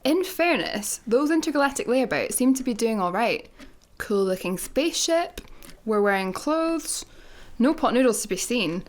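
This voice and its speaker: An actress playing a bossy but soothing young jobseeker in a radio comedy, with a brisk, dryly teasing manner. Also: female voice, on the high side, animated, close to an everyday voice. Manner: brisk, instructing, dry. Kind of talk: radio sci-fi comedy acting.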